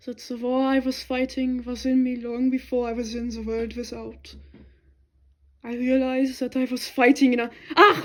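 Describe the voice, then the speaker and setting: A woman voicing an elderly German monk, once a submarine officer, speaking slowly and courteously with a German accent. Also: sits high. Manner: quiet, slow, courteous.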